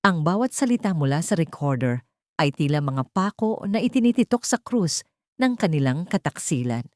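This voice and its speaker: A woman's voice: low-pitched, sing-song, moderate pace, darkish tone, dry recording, clean studio sound. A woman reading a scripted drama as narrator, in a storytelling style.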